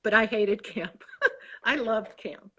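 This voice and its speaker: A woman's voice: medium pitch, takes it slowly, fairly bright tone, breathy edge, low-quality recording. Effusive Chicago woman in her sixties. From Chicago, USA. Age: 60s. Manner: effusive, rambling, self-interrupting. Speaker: author guest. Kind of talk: casual interview chat. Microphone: video call.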